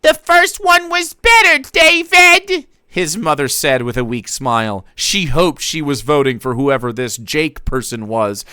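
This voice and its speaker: Brief: young male streamer, dramatic read-aloud, bright edgy voice, dry close sound. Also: mid-range voice, smooth, dry recording. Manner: theatrical, breaks off to laugh and comment. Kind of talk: dramatic reading of fan fiction.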